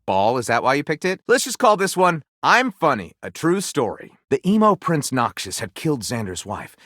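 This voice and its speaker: Theatrical, swooping storyteller. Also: male voice, fairly high pitch, very animated, moderate pace, a bit bright, no room sound, clean studio sound. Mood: wry. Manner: grandiose, mock-dramatic. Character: whimsical, theatrical male storyteller, eager and mock-literary. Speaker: video game character voice actor. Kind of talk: theatrical storybook narration with comic commentary.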